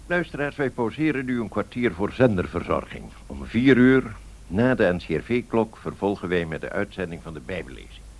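1930s male continuity announcer: lowish, husky, solemn, formally courteous to listeners. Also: sing-song, normal speed.

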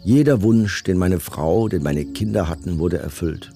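Cold camp commandant, low mellow voice, measured testimony, radio drama, music underneath. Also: male voice. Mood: self-justifying. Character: male Nazi camp commandant coldly recounting his service. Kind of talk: documentary radio drama, reading testimony and orders.

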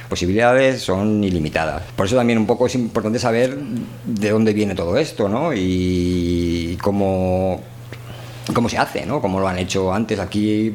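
Low-voiced, chatty man from radio, Castilian accent. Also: darkish tone, a little hiss or hum. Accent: Castilian Spanish, Spain. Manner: colloquial, rambling. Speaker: radio show host. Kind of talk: informal, chatty monologue and discussion.

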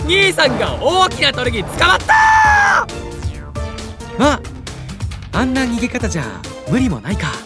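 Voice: high-pitched, huge pitch swings, measured pace, pauses often, music in the background, heavily acted. An actor voicing a cheerful, carefree younger brother with easygoing, boyish casual speech in an anime-style audio drama. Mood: surprised, mildly concerned.

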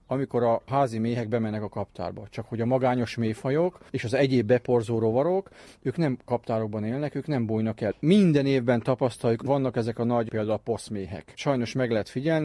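A man with a soft, dark tone gives spontaneous, detailed explanations in an interview. His pitch is average for a man and the sound is very dry and close. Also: dry recording. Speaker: conservation spokesman being interviewed.

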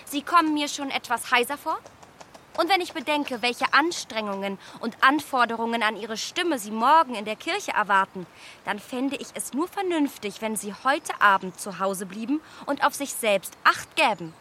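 An actress playing a self-assured, clever young lady with poised, quick-witted speech. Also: on the high side, expressive, bright, forceful tone, slight background noise. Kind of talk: radio play acting.

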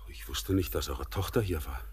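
A man's voice: rumbling low, normal speed, slightly rough, heavily acted. An actor playing a stern but feeling mine foreman, speaking in an earnest, declamatory radio drama style. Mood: pensive. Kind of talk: poetic German radio play acting.

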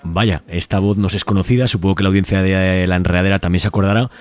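Quick-talking, low-voiced Spanish radio host. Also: male voice, fairly even intonation, dry recording. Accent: Spain. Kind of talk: mock-serious conversational interview.

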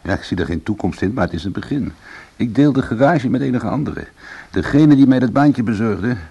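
A man playing a bitter, defiant ex-convict in a Dutch radio play, with a gravelly, husky voice and a sardonic manner. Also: medium pitch, lively intonation, a little fast, dark tone, dull audio. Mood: dry, candid. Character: bitter, defiant male ex-convict trying to go straight. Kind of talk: Dutch radio drama dialogue and narration.

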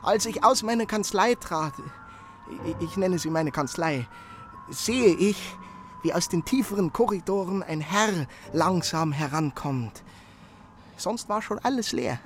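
A man's voice: high voice, expressive, measured pace, a little hiss or hum. An actor playing an anxious young male messenger in a radio play, stammering as he hurries through his report. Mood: eager.

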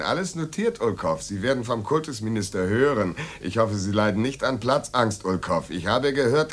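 A man's voice: on the low side, a bit bright, heavily acted. An actor playing an imperious, sardonic male conductor who gives commanding, dryly threatening orders in a German radio drama.

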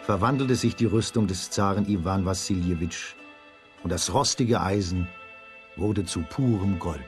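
A man's voice: low-pitched, a little slow, over music. A man narrating a scripted fairy tale for a children's radio play.

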